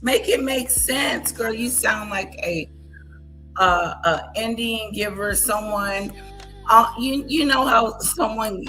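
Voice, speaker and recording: A woman's voice: on the high side, slow pace, pushed and bright, faint music behind. A woman hosting a reaction commentary, scolding and incredulous as she picks apart a clip. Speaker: commentary channel host.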